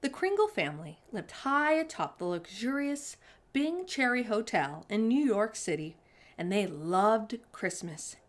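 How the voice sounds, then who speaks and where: A woman's voice: on the high side, very animated, measured pace. A woman hosting a children's story time, reading a storybook and addressing young listeners directly with exclamations.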